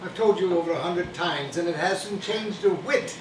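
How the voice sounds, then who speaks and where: A man's voice: high-pitched, normal speed, close to an everyday voice. Guy as kindly older dad in a stage play, mild and hesitant, far from the mic, noisy. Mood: fond. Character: gentle, doting older Victorian father, humble toymaker. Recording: echoey distant stage recording, noisy.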